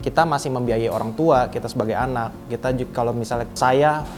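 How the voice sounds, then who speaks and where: A man's voice: medium pitch, fairly quick. Man in his twenties, music behind. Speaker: business development officer being interviewed. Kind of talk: interview answers, reflective opinion.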